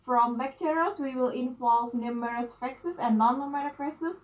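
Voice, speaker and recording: A woman's voice: sits high, normal speed, a little mellow, poor audio. Indonesian-native woman presenting her research. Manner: careful, listing points one by one with fillers. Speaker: PhD student. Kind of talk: academic presentation of a research proposal.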